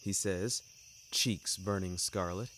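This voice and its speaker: A man's voice: low-pitched, slow, a bit bright. A young man reading scripted first-person fiction, carefully phrased, in a literary and dramatic manner. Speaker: narrator and author of a fiction podcast.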